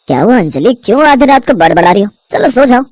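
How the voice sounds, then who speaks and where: A woman's voice: a little high, sing-song, fast, darkish tone, no echo, voice pushed down. A woman voicing a stubborn young husband in a cartoon, dismissive and insistent.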